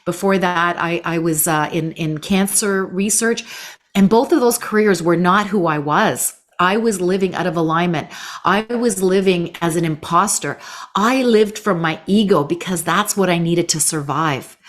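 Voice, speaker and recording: A woman's voice: lowish voice, moderate pace. A woman hosting a spiritual talk show, speaking in an effusive, affirming manner. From Canada. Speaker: show host.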